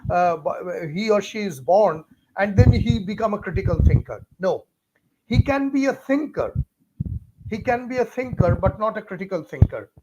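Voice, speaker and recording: A man's voice: high-pitched, noisy background. A man with an Indian English accent teaching a live online class, stressing points over and over and talking straight to viewers by name. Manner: emphatic, repetitive, talks straight to viewers by name. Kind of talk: live-streamed lecture.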